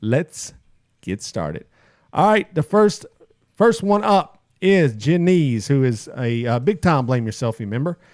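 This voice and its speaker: A man's voice: mid-range voice, sing-song, measured pace, a little mellow, no echo. A man hosts a casual podcast with an upbeat, chatty delivery and a mid-range voice. The sound is close and dry, with no room around it. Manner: upbeat, reading out listener posts. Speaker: podcast host.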